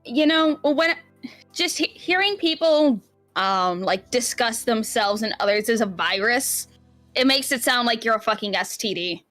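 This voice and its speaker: Woman, fairly high voice, casual podcast chat, blunt, exasperated, sweary, dry sound with soft music. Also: animated, a little slow, no echo. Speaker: podcast co-host.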